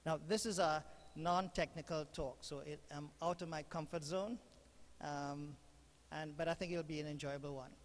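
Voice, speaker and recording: Halting Trinidadian man lecturing in a hall. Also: a little high, fairly even intonation. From Trinidad and Tobago. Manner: hesitant, many fillers and restarts. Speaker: guest lecturer. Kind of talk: university lecture. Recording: reverberant hall, faint room noise.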